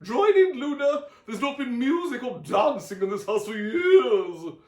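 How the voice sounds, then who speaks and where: A man voicing a gleeful, bossy aunt with loud, drawn-out theatrical exclamations for a children's storybook. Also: very high voice, very animated, echoey room, voice pushed up, exaggerated voice.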